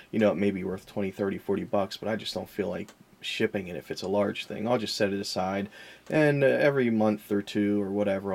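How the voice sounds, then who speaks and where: A man with a lowish, creaky voice that is noticeably rough and husky. He talks casually and without a script, like a vlogger who sells collectibles. His manner is folksy and self-deprecating, and he tends to ramble off topic. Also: a little mellow. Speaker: vlogger and online reseller of vintage collectibles. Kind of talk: casual, rambling unscripted vlog talk.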